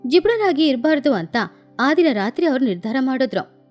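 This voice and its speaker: A woman's voice: sits high, very animated, moderate pace, darkish tone, soft background music. A woman storyteller reading scripted narration for a children's tale.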